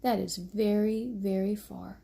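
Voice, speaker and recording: A woman's voice: medium pitch, steady tone, unhurried, vocal fry, mellow. A woman voicing a big grown male hare in a bedtime storybook, tender, patient and reassuring. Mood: tender, sleepy.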